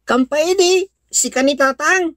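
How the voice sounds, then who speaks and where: Actress voicing eager little kid, high pitch, Ilocano accent, dry close sound, radio drama. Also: female voice, unhurried, fairly bright tone, dry recording. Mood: eager, innocent. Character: eager, talkative young child, fond of uncle.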